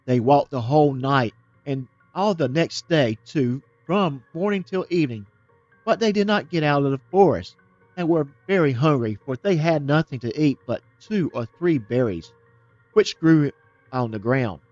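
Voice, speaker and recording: A man reading a fairytale aloud as a storyteller, steady and plain, now and then restarting a word. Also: fairly high pitch, moderate pace, no echo, highs cut off, faint music behind.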